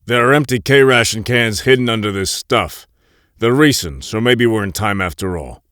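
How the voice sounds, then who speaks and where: An actor playing a terse, commanding wartime military pilot who leads a commando squad in an audio drama. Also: male voice, lowish voice, normal speed, a bit bright, no echo, clean studio sound, close to an everyday voice. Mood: focused.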